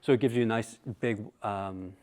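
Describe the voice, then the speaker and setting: A man with a fairly low voice explains in a casual, measured manner. He sounds like showroom staff giving practical guidance, leaving room for other options. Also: steady tone, darkish tone. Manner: measured, hedging advice. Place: hi-fi showroom, indoors.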